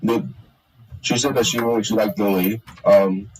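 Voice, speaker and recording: A young man chatting casually on a stream, halting with many restarts and fillers. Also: lowish voice, fairly even intonation, darkish tone, distant mic, slight background noise, rough recording. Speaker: streamer and hobby voice actor. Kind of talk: casual chat on a live stream.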